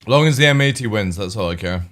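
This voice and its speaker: A man's voice: fairly low pitch, expressive, fast, a bit bright. A young man streaming, chatting casually with viewers, swearing now and then, his voice a little crackly. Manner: casual, swears, greets chat by name. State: slightly crackly voice.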